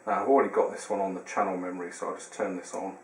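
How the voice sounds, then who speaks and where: A man's voice: deep voice, level tone, racing. A man presenting a casual how-to demonstration, explaining step by step in a hesitant way. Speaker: tutorial presenter. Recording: roomy, distant mic, faint noise.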